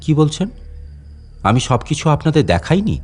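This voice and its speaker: A man's voice: on the high side, very animated, normal speed, darkish tone, breathy edge, faint music behind. A man playing a wary, talkative fort guide in a dramatic storytelling monologue, chatting to unseen visitors and putting questions to them. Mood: questioning, defensive. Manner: conversational, addressing unseen listeners with questions. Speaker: audio story narrator. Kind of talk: dramatic first-person monologue narration.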